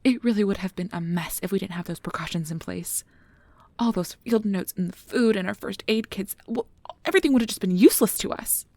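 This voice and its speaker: A woman playing a nervous, earnest, principled field researcher of magical creatures in an ASMR audio drama, soft and hesitant with stammers, close to the mic. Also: medium pitch, brisk, no room sound, lightly acted. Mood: flustered, defensive. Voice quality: breathy, soft. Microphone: close mic, dry recording.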